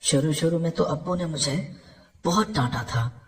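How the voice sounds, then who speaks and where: High-pitched man narrating with long reverb. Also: moderate pace. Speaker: story narrator. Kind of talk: first-person scripted story narration.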